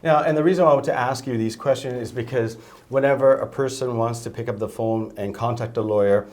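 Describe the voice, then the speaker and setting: A man hosting a studio interview show, opening formally and interjecting with frequent hesitations and quick affirmations. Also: mid-range voice, darkish tone. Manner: formal introductions, frequent "uh" and "excellent". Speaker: show host.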